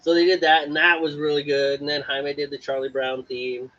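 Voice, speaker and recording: A man co-hosting a homebrewing stream, telling stories in a playful, animated way and mimicking other people. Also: fairly high pitch, brisk. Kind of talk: casual chat. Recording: lo-fi, dull livestream audio.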